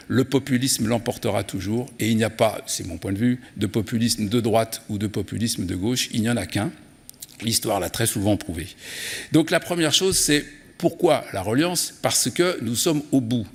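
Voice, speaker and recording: A man's voice: mid-range voice, vocal fry, husky. A man gives an expository, argumentative conference talk, searching for words, repeating them and stressing his lists. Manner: hesitant searching delivery with repeated words and emphatic enumerations. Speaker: guest speaker, geographer and consultant. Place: large indoor conference room. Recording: echoey hall with long reverb.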